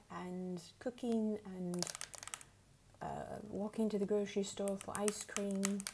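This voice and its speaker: A woman speaks in a put-on English accent, soft and sometimes slipping into a whisper. Her voice is mellow, with plenty of vocal fry. She hosts ASMR question and answer talk at an unhurried pace, with faint noise and clicks behind her. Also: mid-range voice, low-quality recording. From United States. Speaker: ASMR video host.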